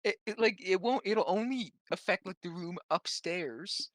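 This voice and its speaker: A man's voice: high-pitched, a little slow, fairly bright tone, dry recording, studio quality. A man chatting casually as a player in a tabletop roleplaying game, playful and often restarting his sentences.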